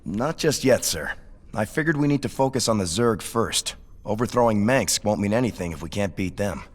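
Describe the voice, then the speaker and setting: A man's voice: fairly low pitch, lively intonation, normal speed, natural voice. An actor playing a loyal, earnest young male officer who speaks respectfully.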